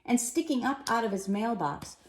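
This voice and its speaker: A woman reading a children's storybook aloud with expressive narration, recorded in an echoey room. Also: on the high side, moderate pace. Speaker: storybook reader.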